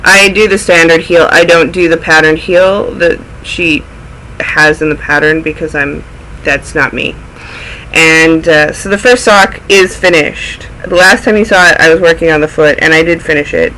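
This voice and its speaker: A man's voice: a little high, fairly even intonation, moderate pace, pushed and bright, a little hiss or hum, poor audio. A man with a cold, sounding snuffly, hosting a casual knitting video podcast and chatting his way through projects with plenty of tangents. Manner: rambling, goes off on tangents. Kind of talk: casual chatty show-and-tell.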